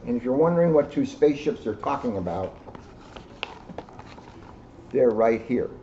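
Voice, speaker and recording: A man in his sixties, a police officer reading aloud to children and commenting informally in an earnest, expressive way. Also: mid-range voice, moderate pace. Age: 60s. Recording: muffled, noisy amateur video sound.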